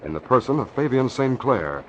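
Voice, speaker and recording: A man's voice: mid-range voice, sing-song, moderate pace. A man narrating an old-time radio drama in a dramatic, scripted style. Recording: muffled, noisy old radio recording.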